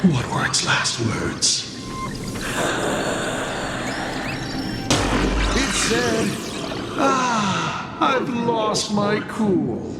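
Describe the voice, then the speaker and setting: Slow, theatrical trickster villain. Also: male voice, high-pitched, very noisy, heavily acted. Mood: gloating. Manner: theatrical, teasing, drawn-out dramatic delivery. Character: playful theatrical male trickster villain, mocking and smug. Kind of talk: dramatic video game voice acting. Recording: echoey, with loud music. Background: loud game music.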